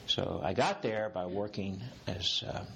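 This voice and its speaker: A man's voice: low voice, a little slow, creaky, a bit bright, a little hiss or hum. A man in his sixties talking as a guest in an interview, reflective and rambling, with restarts along the way. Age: 60s. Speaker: executive coach, guest. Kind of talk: interview conversation.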